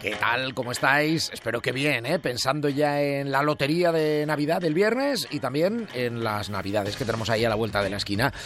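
A man's voice: mid-range voice, brisk, few pauses, fairly bright tone. A man with an accent from Spain hosting a radio show, informal and conversational, talking straight to listeners. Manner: informal, addresses listeners directly. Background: music.

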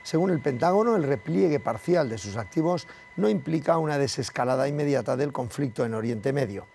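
A male news presenter reads scripted bulletins in a Castilian Spanish accent. His voice sits at an average pitch and has a strong gravelly creak. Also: expressive, a little fast, darkish tone, slight background noise.

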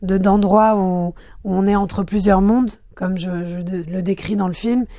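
A woman filmmaker born in France, whose first language was Arabic, answering interview questions thoughtfully, pausing and restarting as she searches for words. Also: medium pitch, fairly even intonation, dark tone, dry recording, clean studio sound.

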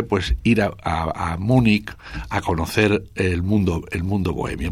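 An older man from Spain, a guest author speaking in a radio interview, thoughtful and hesitant with many restarts. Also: sits low.

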